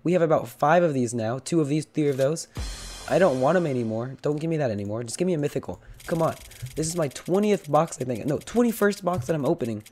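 A young man with a hoarse, cracking voice from illness, chatting casually as he opens loot boxes and pleads for better luck. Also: on the high side, expressive, fast talker, darkish tone, faint music behind. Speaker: gaming channel host. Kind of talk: casual game commentary.

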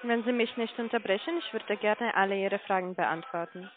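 A woman's voice: mid-range voice, normal speed. Insistent woman on a tinny phone line. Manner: formal, insistent. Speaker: call-centre sales agent. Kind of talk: sales phone call.

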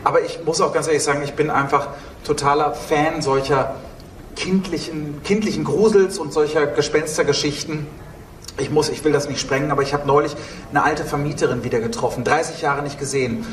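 A man's voice: on the high side, steady tone, brisk. A man in his thirties, a producer of audio dramas, talking on a panel on stage. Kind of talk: panel talk. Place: on stage in a dark event hall. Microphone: handheld microphone, heard through room. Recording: roomy hall sound, distant, faint noise.

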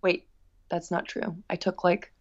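A woman speaking as a musician guest in a casual interview, telling stories in an effusive, animated way. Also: fairly low pitch, measured pace, lo-fi sound.

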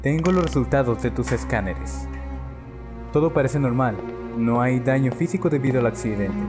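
A man playing a concerned, reassuring psychologist in a game dub, measured and consoling, with a Latin American Spanish accent. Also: medium pitch, natural voice. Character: concerned, reassuring male psychologist counselling a grieving patient. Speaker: voice actor in a game fan dub. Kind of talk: dramatic dubbed dialogue. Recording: music behind the voice.

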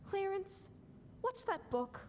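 A young woman playing a calm, authoritative superintendent of angels, telling a story in a live radio play. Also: very high-pitched, slow, full character voice. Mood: calm, instructive. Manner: instructive storytelling. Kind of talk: stage radio-play acting. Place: school auditorium stage, standing at mic. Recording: reverberant noisy hall.

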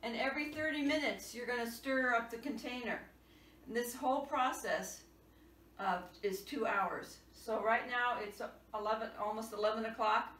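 A woman's voice: a little high, normal speed. A woman in her sixties giving plain step-by-step cooking instructions in her home kitchen. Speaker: home cook. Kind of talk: casual cooking demonstration. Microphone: camera across the kitchen. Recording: echoey kitchen, camera far off, loud noise.

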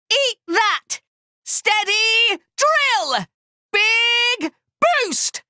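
A man's voice: very high voice, bright, forceful tone, dry recording, studio quality, full character voice. A man voicing a small furry creature from a video game, eager, boastful and childlike, speaking in cutesy, exclamatory broken phrases. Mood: battle cries. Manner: cutesy broken grammar, third-person self-reference, exclamatory. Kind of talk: video game battle voice lines.

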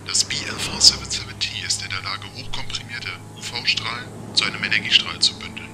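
A man's voice: on the low side, fairly even intonation, brisk, in a whisper, lightly acted. A man playing a polite, pedantic male car computer in an audio drama, speaking in formal, courteous phrases. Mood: precise, matter-of-fact. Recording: loud music bed.

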